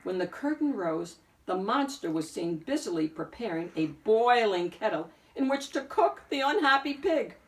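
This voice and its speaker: A woman reading a picture book aloud as an expressive narrator, phrasing deliberately with emphatic swells. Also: mid-range voice, recorded from a distance, poor audio. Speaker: picture book reader and host.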